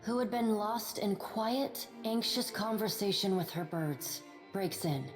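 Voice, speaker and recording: A woman's voice: mid-range voice, measured pace. A woman reading scripted fantasy narration as the narrator of a game. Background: music.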